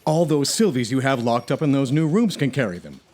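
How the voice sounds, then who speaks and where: A man plays a mild-mannered hero of a comic sci-fi audio drama. The voice is medium in pitch and very expressive. The manner is courteous, earnest and explanatory. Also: a little fast. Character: earnest, mild-mannered male space hero who knits.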